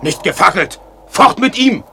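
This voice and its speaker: A man's voice: high-pitched, expressive, unhurried, creaky, noisy background, exaggerated voice. An actor playing a brusque servant barking his lord's orders in a German radio drama. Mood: brusque, commanding.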